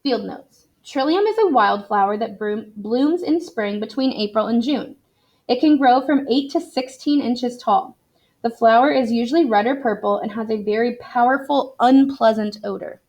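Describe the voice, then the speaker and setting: A woman's voice: on the high side, normal speed, a little mellow. A woman reading a children's book aloud in an explanatory, teacherly way for young learners. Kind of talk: expressive read-aloud for children.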